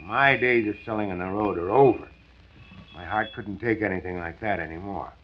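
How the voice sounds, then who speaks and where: A man's voice: lowish voice, normal speed, a little hiss or hum, close to an everyday voice. An actor playing an ailing, middle-aged former salesman, folksy, wry and resigned, in 1940s radio drama style. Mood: bitter, resigned. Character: ailing, discouraged former salesman in his early fifties. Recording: dull, band-limited 1940s radio transcription.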